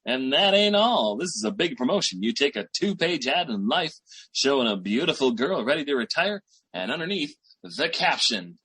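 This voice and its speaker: An actor playing a boastful, slangy small-time schemer boyfriend in 1940s radio sitcom style, with a raspy voice. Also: male voice, mid-range voice, very animated, moderate pace, sharp tone, heavily acted. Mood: boastful, excited. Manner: slangy, bragging, theatrical hustler patter.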